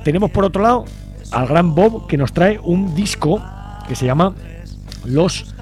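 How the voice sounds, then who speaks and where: High-voiced Spanish man hosting radio. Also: animated, measured pace, a little mellow, soft background music. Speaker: radio show host. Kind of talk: casual interview chat introducing guests. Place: radio studio.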